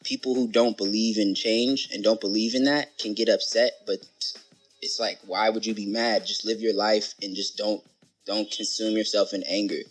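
Man, podcast guest, low voice, rambling, animated, casual. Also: moderate pace, faint music behind. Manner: animated, rambling, says "like" a lot.

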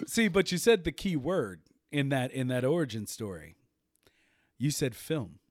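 A man's voice: medium pitch, dry recording, studio quality. A man hosting a podcast, chatting with guests, injured and speaking from his bed. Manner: animated, laughing, then serious and measured. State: injured, bedridden. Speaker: podcast host. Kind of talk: casual podcast chat.

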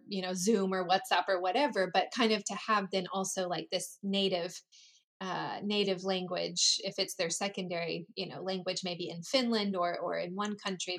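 A woman's voice: fairly low pitch, fairly bright tone. A woman chatting casually as a co-host, talking loosely with lots of fillers and restarts. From United States. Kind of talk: casual conversation.

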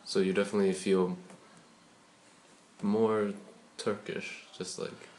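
A man's voice: low-pitched, little pitch movement, slow, a little mellow, slight background noise, poor audio. A man interviewing, asking short prompting questions and murmuring along as he listens.

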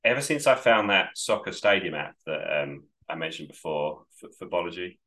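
A man's voice: low voice, expressive, moderate pace, a bit bright. A man co-hosting a casual podcast chat, rambling and upbeat, getting over a cold. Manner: rambling, self-correcting, upbeat. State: recovering from a cold. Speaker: podcast co-host.

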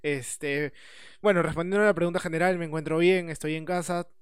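Smooth-voiced man, dry close sound. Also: fairly high pitch, fairly even intonation, fairly quick, no echo. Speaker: podcast co-host. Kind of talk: casual chat.

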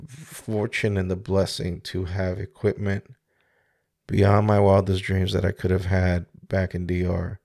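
A man in his forties from the Dominican Republic, hosting a reflective solo podcast. He talks in a halting, searching way, with many pauses and restarts, into a studio microphone. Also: low-pitched, gravelly, a little mellow. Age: 40s. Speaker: podcast host. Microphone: studio microphone with mixer.

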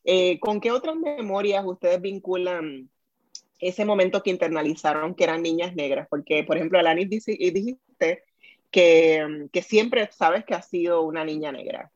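A woman's voice: lowish voice, moderate pace, dry recording. Host: Puerto Rican woman, reflective interviewer, dry close sound, a bit muffled. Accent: Puerto Rican Spanish. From Puerto Rico. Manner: thoughtful, frequent tag questions and fillers. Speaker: podcast host. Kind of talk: conversational interview.

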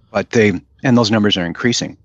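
A man's voice: on the low side, normal speed, no echo, lo-fi sound. A man, a guest in a casual interview, talking in a loose, rambling way with fillers and restarts. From United States.

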